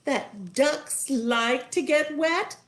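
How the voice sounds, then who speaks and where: A woman's voice: sits high, very slow, a bit bright, voice pushed up, heavily acted. A woman voicing a lazy, bossy wizard's wife in a storybook, sugary sweet and wheedling. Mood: sweetly scheming.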